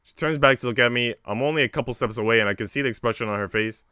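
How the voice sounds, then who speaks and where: A man's voice: medium pitch, very fast, pushed and bright, clear, resonant tone, dry recording, studio quality. A man livestreaming, talking in an informal, slangy, rambling way as he chats and reads a visual novel aloud. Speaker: streamer. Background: faint game music.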